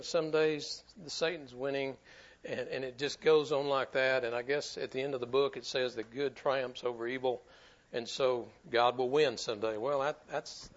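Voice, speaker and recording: A man's voice: mid-range voice, fairly even intonation, normal speed, dull audio. A man preaching a sermon to his church in a folksy, repetitive way, often stumbling over his words. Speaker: pastor.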